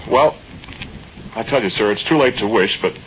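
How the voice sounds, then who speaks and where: A man's voice: on the low side, normal speed, a bit bright, close to an everyday voice. An actor playing an earnest, humble younger man, hesitant and plain-spoken, in an old-time radio drama. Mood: hesitant, respectful. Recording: dull old radio recording, noisy. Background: rain and thunder.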